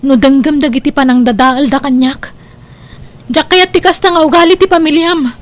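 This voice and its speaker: A woman's voice: high voice, steady tone, moderate pace, close to an everyday voice. A woman with an Ilocano accent playing a wronged girlfriend in an audio drama, pleading her case in a plaintive, emphatic way. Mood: pained, resigned. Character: wronged, hurt girlfriend defending herself.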